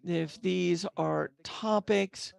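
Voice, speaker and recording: Woman interpreter with a gravelly edge. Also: lowish voice, extremely slow, no room sound. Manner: steady delivery with brief restarts and self-corrections. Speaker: simultaneous interpreter. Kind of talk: live interpretation of a panel speech.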